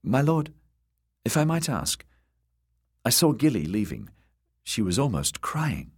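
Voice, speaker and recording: A man's voice: medium pitch, very animated, unhurried, husky, pitched above the performer's own voice, heavily acted. A man voicing a timid, earnest young male scholar in an audiobook, hesitant and deferential in manner. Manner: hesitant, nervous, stressing his words.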